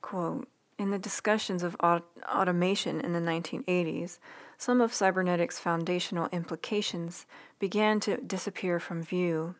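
A woman with a fairly low voice reads her own writing aloud as an ASMR read-along. Her delivery is soft, unhurried and intimate. Breaths and small lip sounds come through in a close recording made in a quiet room. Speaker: writer reading own newsletter aloud.

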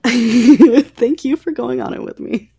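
A woman's voice: on the high side, slow, vocal fry, warm tone, slightly rough. A woman co-hosting a podcast in casual chat, with a playful, wry way of talking. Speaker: podcast co-host.

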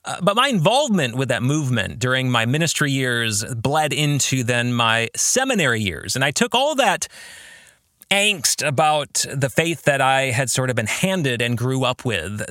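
An American man in middle age delivers a reflective podcast monologue as its host. His voice is bright and clear-cut, recorded dry and close. He explains things in measured phrases, his pitch moving in a lively way. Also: no room sound.

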